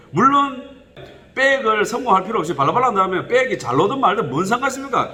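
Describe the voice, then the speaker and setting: A middle-aged male welding instructor talks in a strong regional Korean dialect, rambling along with plenty of fillers. His voice is fairly high and bright and leaps up and down as he explains. A long, hall-like echo trails each phrase. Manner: animated, rambling, with frequent fillers and pitch jumps. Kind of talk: casual spoken instruction to camera.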